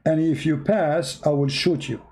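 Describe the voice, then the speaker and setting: A man's voice: fairly high pitch, steady tone, normal speed, a little mellow. A man whose first language is Arabic hosts a heated debate, mocking and pressing a caller with repeated questions. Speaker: debate show host. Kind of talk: heated live debate call.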